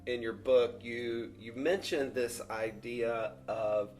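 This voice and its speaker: A man's voice: medium pitch, steady tone, slow pace, a little mellow, faint music behind. A man interviewing an author, friendly, introducing the book and asking questions with some stumbles and repeated words.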